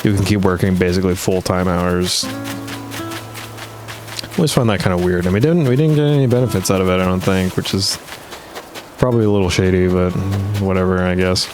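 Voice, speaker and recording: A young man with a very deep voice that sounds worn and a little rough, chatting loosely while he plays. He rambles, trails off and restarts often. Clear game music plays underneath him. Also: brisk. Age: young adult. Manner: rambling, many fillers and restarts. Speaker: gaming video host. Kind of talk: casual gameplay commentary.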